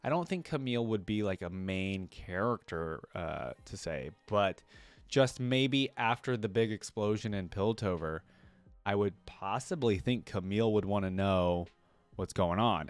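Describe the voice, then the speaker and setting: A man with a fairly low voice hosts a casual reaction video. He moves between reading aloud and informal, rambling asides of his own.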